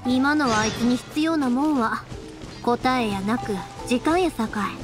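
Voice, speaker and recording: A woman's voice: a little high, a little slow, natural voice. A woman playing a caring, bustling young fireworks maker in a Japanese game, with a Kansai accent and breezy, familiar manner. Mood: easygoing. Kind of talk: Japanese anime game voice acting. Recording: soft music behind.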